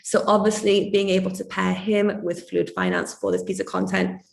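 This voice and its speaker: Woman, call moderator, croaky slightly gravelly voice, upbeat, echoey room. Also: medium pitch, big pitch swings, normal speed. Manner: upbeat, enthusiastic. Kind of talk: casual online community call.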